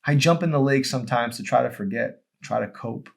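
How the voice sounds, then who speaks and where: A man voicing a tormented, withdrawn young man in an audiobook, halting and anguished with guilt. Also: medium pitch, creaky, pitched above the performer's own voice.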